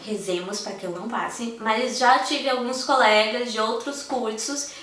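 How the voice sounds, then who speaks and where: A young woman with a Brazilian Portuguese accent speaks frankly and with passion, her voice set a little high. She sits a bit back from the mic in a strongly echoing room. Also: a little slow, a bit bright, distant mic. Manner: frank, impassioned, speaks straight to viewers. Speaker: vlogger. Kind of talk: candid personal vlog.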